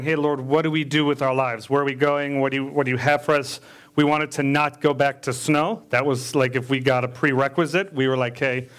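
A man's voice: medium pitch, fairly bright tone. A man from South Africa who grew up in the American Midwest, preaching as a deacon in church and telling his own testimony in a conversational way with frequent fillers and humorous asides. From born in South Africa, raised in the US Midwest. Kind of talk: sermon with personal testimony. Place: church stage.

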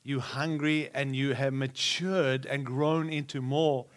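A man's voice: medium pitch, steady tone, slow. A middle-aged man, preaching to a live church with repeated, rousing phrases and jokes for the congregation. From Australia. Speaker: guest preacher.

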